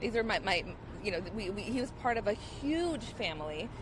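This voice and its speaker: Grieving woman, halting outdoor statement. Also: mid-range voice, very animated, background noise. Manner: halting, emotional, with many restarts. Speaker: sister of the victim. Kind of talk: press conference statement.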